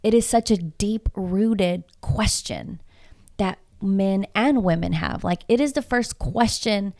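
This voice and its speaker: A woman's voice: medium pitch, slow, darkish tone. A woman from Houston, Texas, whose first language is Spanish, talking as a podcast guest, emphatic and reflective and full of rhetorical questions. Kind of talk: casual podcast conversation.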